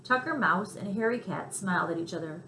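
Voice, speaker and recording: Animated woman reading a children's story. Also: lowish voice, fairly quick. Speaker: storybook reader. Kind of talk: expressive read-aloud narration for children. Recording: roomy echo, faint background noise.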